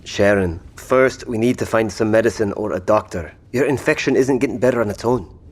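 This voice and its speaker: He is a wary survivor in an apocalyptic audio drama. His fairly low voice is plainspoken, with a dry, blunt way of putting things. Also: fairly quick, breathy edge. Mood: worried, argumentative.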